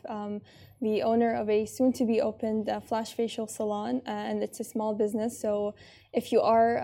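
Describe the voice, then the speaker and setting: A woman's voice: medium pitch, dark tone. A woman hosting a TV talk show, speaking hesitantly with many fillers. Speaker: TV show host.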